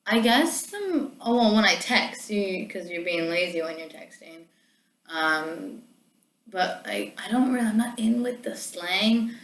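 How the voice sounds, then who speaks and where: A woman's voice: medium pitch, expressive, a little slow, fairly bright tone. A young Canadian woman, a student, chatting casually and laughing easily. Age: young adult. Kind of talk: casual conversation. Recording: roomy room sound.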